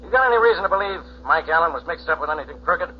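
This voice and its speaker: A male actor plays a gruff police inspector, sarcastic and pushy, barking in a high-pitched voice. The vintage radio recording is muffled, with faint noise underneath. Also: lively intonation, racing, lightly acted. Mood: sarcastic, bullying. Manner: barking, interrogating. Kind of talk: 1940s radio acting. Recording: dull, hissy 1940s radio recording.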